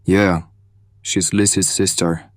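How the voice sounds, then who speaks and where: Deep, terse male survivor, acted. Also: little pitch movement, takes it slowly, no room sound, studio quality. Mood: concerned. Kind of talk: audio drama acting.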